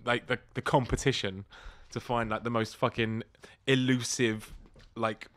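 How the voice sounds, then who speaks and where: A man's voice: medium pitch, little pitch movement, unhurried, fairly bright tone. A man co-hosting a comedy podcast, rambling through casual, sweary riffs. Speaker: podcast co-host. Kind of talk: casual comic banter.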